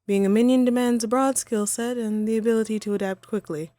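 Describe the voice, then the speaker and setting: A woman's voice: on the high side, fairly even intonation, a little fast, warm tone, no echo, faint music behind, natural voice. A woman voicing a haughty, wry female supervillain in a scripted audio roleplay, poised and theatrical but conversational. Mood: encouraging, sly.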